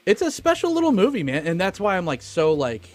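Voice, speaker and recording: A man's voice: high-pitched, wildly swooping pitch, a little fast. Emphatic, informal man talking over music. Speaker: podcast co-host. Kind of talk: casual movie review chat. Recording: music playing behind the voice. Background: background music.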